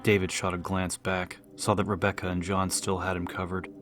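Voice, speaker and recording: A man's voice: low-pitched, completely flat delivery, moderate pace, over music. A man giving a dramatic scripted narration as an audiobook narrator.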